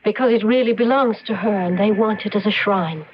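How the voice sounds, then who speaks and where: Woman acting mysterious young temptress, coy and knowing, mid-pitched, old radio drama, faint noise. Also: a little fast, lightly acted. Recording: dull, noisy old radio recording.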